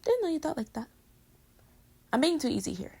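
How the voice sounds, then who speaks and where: A woman's voice: high voice, sing-song, a little fast, vocal fry, warm tone, full character voice. A woman playing a playful, affectionate girlfriend in a casual, intimate pillow-talk roleplay, teasing her partner. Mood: playful, curious. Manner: casual, teasing, conversational with giggles. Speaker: audio roleplay voice actor.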